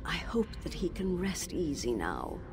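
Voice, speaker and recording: A woman voicing the shade of an elven mage teacher, regal and sorrowful, who turns stern and shrill when she scolds. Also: big pitch swings, background noise. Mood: solemn, grateful. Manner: solemn, measured and regal; shrill scolding in memories. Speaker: video game character. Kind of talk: game cutscene voice acting. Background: game music.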